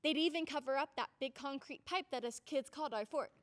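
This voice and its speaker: Woman playing an earnest, very high-voiced American girl. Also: a little fast, natural voice. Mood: hushed suspense. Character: excitable, earnest young American girl narrating her story. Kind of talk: old-time radio drama acting.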